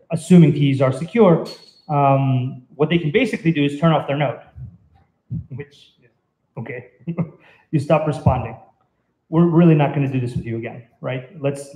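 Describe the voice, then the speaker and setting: A man's voice: mid-range voice, darkish tone. A man in his thirties giving an informal technical talk at an indoor venue, explaining in a hesitant, conversational way. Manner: hesitant, halting, conversational delivery. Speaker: conference speaker. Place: indoor meetup venue, on stage by projection screen. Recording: roomy, echoey event venue.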